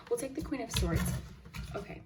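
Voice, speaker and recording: A young woman with a slightly hoarse, sore throat giving a chatty tarot reading to her viewers, friendly and soft-spoken, sometimes dropping to a whisper. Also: a little high, lively intonation, normal speed, heavy background noise. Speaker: tarot reader and channel host. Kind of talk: chatty pick-a-card tarot reading to camera.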